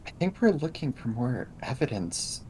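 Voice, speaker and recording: A man's voice: mid-range voice, sing-song, normal speed, darkish tone, a little hiss or hum, low-quality recording. A man improvising casually as a player in a tabletop roleplaying game.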